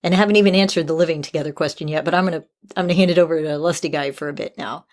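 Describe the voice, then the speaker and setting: A woman's voice: sits low, rapid-fire. A woman podcast host giving advice in a conversational, explanatory way.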